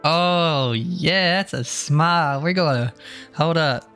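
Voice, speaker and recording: A man's voice: fairly high pitch, slow pace, fairly bright tone, smooth, soft background music. A young man giving casual, slangy commentary as he streams a video game. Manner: slangy, informal.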